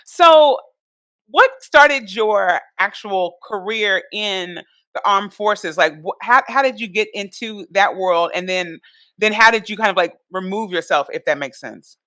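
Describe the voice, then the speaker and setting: A woman hosts a podcast in a bright, lively voice, sounding upbeat and chatty. She fills her talk with "y'all" and "like." The recording is dry and close, with little room sound. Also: medium pitch, measured pace, dry recording. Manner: enthusiastic, chatty, says "like" and "y'all". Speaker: podcast host. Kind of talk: casual podcast chat.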